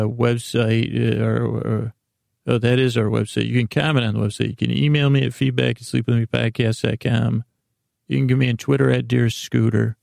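Soothing, creaky-voiced man rambling at bedtime. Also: medium pitch, a little mellow, no echo, clean studio sound. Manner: meandering, halting, word-fumbling; soothing, lulling delivery. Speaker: podcast host. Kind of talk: rambling bedtime podcast monologue.

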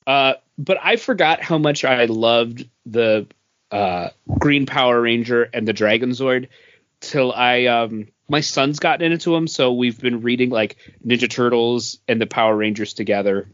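A man's voice: mid-range voice, a little slow, a bit bright, dry recording, highs cut off. A man co-hosting a podcast in casual, enthusiastic and informal geek chat. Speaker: podcast co-host. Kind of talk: casual pop-culture chat.

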